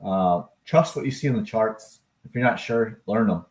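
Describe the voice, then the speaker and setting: A man's voice: fairly low pitch, moderate pace, darkish tone. A man hosting a livestream about crypto and stock charts, talking casually in a rambling, chatty, self-deprecating way and answering his chat.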